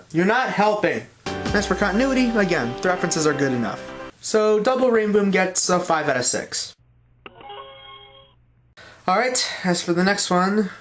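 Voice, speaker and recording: A reviewer giving blunt, opinionated scripted commentary with a sardonic manner. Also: medium pitch. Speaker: reviewer, host of an online review show.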